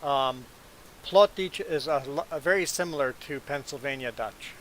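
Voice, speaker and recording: Man, livestream host, first language Low German, raised in Belize and Canada, casual chatty delivery. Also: moderate pace, a little hiss or hum, poor audio. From grew up in Belize and Canada. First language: Plautdietsch (Low German). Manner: relaxed, chatty, answering viewers' chat. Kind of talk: casual live-stream chat.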